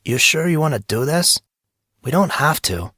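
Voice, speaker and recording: A man voicing a concerned, gently chiding teenage schoolboy friend, careful and questioning, in audiobook dialogue. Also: a little high, very animated, moderate pace, husky, dry recording, studio quality, voice pushed up, heavily acted. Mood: apprehensive.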